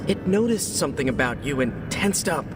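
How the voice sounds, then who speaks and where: An actor playing an eager, geeky young superhero inventor in a video game, friendly and chatty. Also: male voice, fairly high pitch, sing-song, normal speed. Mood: eager, explaining. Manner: enthusiastic, chatty, youthful. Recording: clear background noise, outdoor-like ambience.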